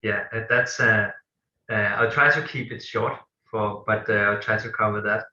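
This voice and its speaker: A Danish-accented man in his thirties speaks with a low, fairly monotone voice that still has a bright, forceful edge. He talks hesitantly, with many fillers and restarts. The sound is roomy and echoey, and a bit dull and lo-fi. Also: normal speed, slight background noise. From Denmark. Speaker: guest, company co-founder. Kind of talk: interview answers.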